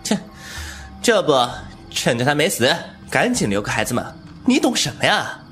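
一名男演员在武侠广播剧中饰演一位莽撞顽皮、言辞犀利的年轻侠客。. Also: male voice, fairly high pitch, sing-song, moderate pace, faint music behind. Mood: mocking. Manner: impulsive, sharp-tongued, irreverent. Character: brash, cheeky young male martial-arts hero. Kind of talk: Chinese wuxia audio drama acting.

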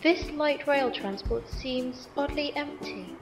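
A woman's voice: high voice, takes it slowly. A woman playing an analytical, unemotional companion in a sci-fi audio drama, with formal, precise speech. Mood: detached, curious. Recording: echoey, music behind.

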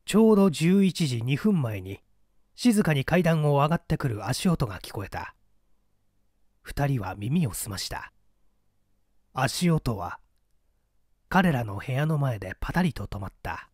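A man's voice: mid-range voice, sing-song, a little slow, long pauses, a little mellow, no room sound, clean studio sound. A man reading a mystery story aloud as dramatic scripted narration. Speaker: audiobook reader.

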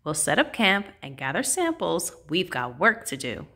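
A woman's voice: fairly low pitch, sing-song, normal speed, bright, forceful tone, breathy edge, heavily acted. A woman voicing a plucky, determined young girl rocket captain in a storybook, earnest and upbeat.

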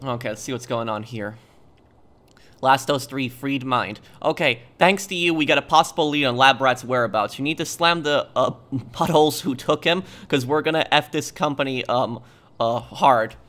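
A young man giving casual, halting gameplay commentary. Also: medium pitch, fairly bright tone. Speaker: gaming video host. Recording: faint game noise behind voice. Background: game ambience and vehicles.

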